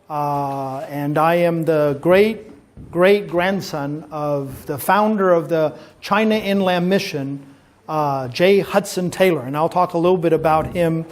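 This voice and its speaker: High-voiced man in his fifties, storytelling. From Taiwan. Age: 50s. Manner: relaxed storytelling with gentle jokes and frequent "uh" pauses. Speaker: pastor. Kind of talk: informal talk to a youth audience at a church event.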